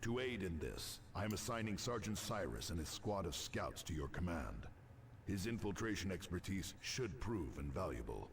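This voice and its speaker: An actor playing a stern space marine commander, authoritative and formal, in a sci-fi military game briefing. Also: male voice, low-pitched, big pitch swings, normal speed, far from the mic, slight background noise. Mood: grave, commanding.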